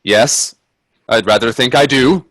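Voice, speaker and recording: An actor playing a timid, wonder-struck gentleman companion in a radio play, with genteel, hesitant delivery. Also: medium pitch, unhurried, no room sound, lightly acted. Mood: nervous. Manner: hesitant, stammering in dialogue, genteel phrasing. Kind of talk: radio drama acting and first-person narration. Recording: husky dry close audio from video-call performance.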